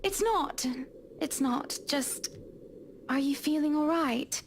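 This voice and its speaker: A man voicing a gentle, hesitant, polite wife in a visual novel, with a soft, tentative, creaky delivery. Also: very high voice, slow, a little hiss or hum, voice pushed up, full character voice. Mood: gentle, uncertain.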